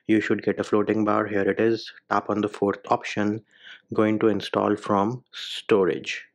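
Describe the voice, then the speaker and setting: A man's voice: lowish voice, flat delivery, a little slow. A man hosting a phone tutorial voice-over, giving informal step-by-step instructions in short clipped phrases. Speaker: tech tutorial video host.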